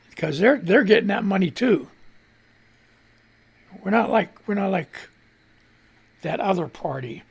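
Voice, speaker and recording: A man hosting a casual live radio news show, reading posts aloud and ranting about them with sarcasm and the odd swear word. His voice is gravelly, and he keeps holding back a cough. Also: high voice. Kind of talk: casual political commentary, reading and reacting to posts. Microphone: studio mic on a live stream.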